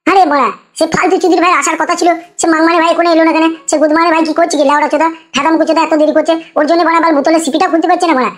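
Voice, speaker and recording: A woman voicing a foul-mouthed, grumbling young drunken village man in a crude comic cartoon, ranting with an artificially high-pitched voice. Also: steady tone, rapid-fire, hardly any pauses. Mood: impatient grumbling. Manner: ranting, swearing constantly.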